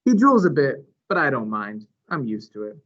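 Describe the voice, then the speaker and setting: A man reading a children's story aloud with animated, dramatic phrasing. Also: medium pitch, normal speed. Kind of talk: expressive read-aloud narration. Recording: dull, lo-fi recording.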